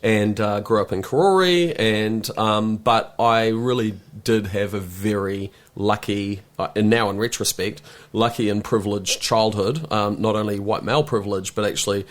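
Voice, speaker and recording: A man's voice: fairly low pitch, moderate pace. A middle-aged New Zealand man with a New Zealand accent, a film director guesting on a podcast, talking in bursts with many restarts and fillers. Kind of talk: casual podcast interview.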